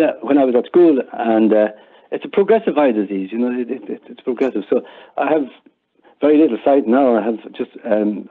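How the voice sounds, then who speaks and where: An older man with an Irish accent speaks in a casual, conversational way, as if reminiscing in an interview. He often repeats words as he goes. His voice comes through a muffled, narrowband line that sounds like a phone call. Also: lowish voice. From Ireland. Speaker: interview guest.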